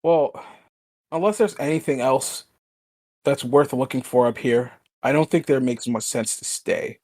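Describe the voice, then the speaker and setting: A man's voice: mid-range voice, measured pace, darkish tone. A man playing a tabletop role-playing game, chatting casually about what to do next.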